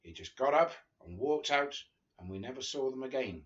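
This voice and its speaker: A man's voice: medium pitch, animated, normal speed, fairly bright tone. English man reading aloud, dull lo-fi sound. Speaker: guest reader. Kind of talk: informal reading aloud of a memoir. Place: small living room, seated on a sofa. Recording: dull lo-fi video call audio.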